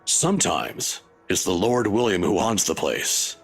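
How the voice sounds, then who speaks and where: A man voicing an older male professor who speaks in a measured, lecturing, wry way, with a husky voice. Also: deep voice, sing-song, soft background music, exaggerated voice. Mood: musing.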